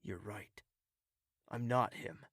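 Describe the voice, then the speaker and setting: A man's voice: on the low side, slow, creaky, a bit bright, slightly rough, no room sound, clean studio sound, heavily acted. A man playing a troubled, self-doubting young royal in an audio drama, with an earnest, hesitant, introspective manner. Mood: subdued, uneasy.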